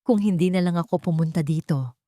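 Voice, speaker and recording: A woman narrator voicing a meek, guilt-ridden younger sister with a broken, hushed delivery in an audio drama. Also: lowish voice, a little fast, dry recording, studio quality, lightly acted. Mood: guilty, tearful.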